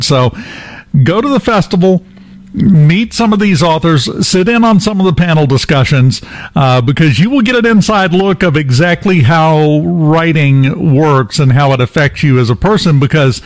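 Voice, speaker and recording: A man hosts a relaxed radio interview, his voice sitting a bit high for a man. He talks emphatically and jokes easily, leaning into the points he wants listeners to remember. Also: moderate pace. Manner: emphatic, animated, joking. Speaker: radio host.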